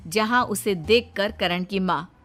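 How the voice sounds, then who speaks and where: A woman's voice: medium pitch, takes it slowly, fairly bright tone, soft background music. A woman narrating scripted comic family stories as a storyteller.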